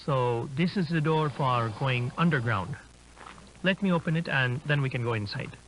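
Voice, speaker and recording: A man's voice: mid-range voice, normal speed, fairly bright tone, close to an everyday voice, low-quality recording. A man playing an inquisitive explorer, explaining things conversationally as he films a found-footage drama. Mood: curious, explanatory. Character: inquisitive male urban-explorer filming a dinosaur park. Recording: humming background noise.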